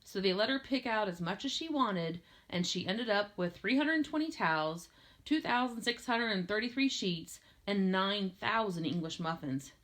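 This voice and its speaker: Woman reading a story out loud, audiobook style, expressive, normal mid pitch voice. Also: moderate pace. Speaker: audiobook reader. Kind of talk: expressive read-aloud narration of a first-person novel.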